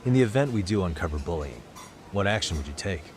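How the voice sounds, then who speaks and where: A low-voiced, wry private detective. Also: male voice, lively intonation, fast, noisy background. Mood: probing. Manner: casual, direct questioning. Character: wry, probing private detective, adult man. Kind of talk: English dub of a video game cutscene.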